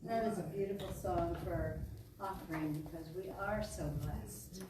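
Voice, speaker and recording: A woman leading a service, speaking a measured, reverent blessing to a congregation. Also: fairly low pitch, crowd noise. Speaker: service leader at a spiritual center. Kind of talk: offering blessing. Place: large echoey meeting room, heard from a distance. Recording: echoey hall, distant mic, noisy.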